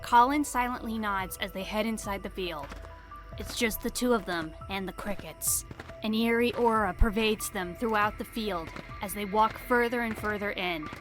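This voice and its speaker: A woman's voice: medium pitch, normal speed, over music. A woman reading scripted storybook narration for an audio drama.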